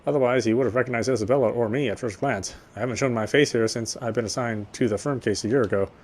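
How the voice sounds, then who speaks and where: An actor playing a guarded young policeman who narrates his thoughts in a measured, wry voice for a voiced visual novel. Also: mid-range voice, natural voice. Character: guarded young male cop, dry inner monologue. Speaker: police officer. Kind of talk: first-person game narration.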